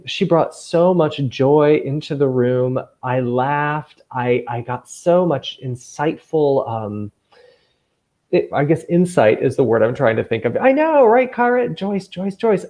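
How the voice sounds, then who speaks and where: A man working as a camp counselor and host, chatting to children in an animated, enthusiastic, gushing way. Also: medium pitch. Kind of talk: lively chatty hosting for children.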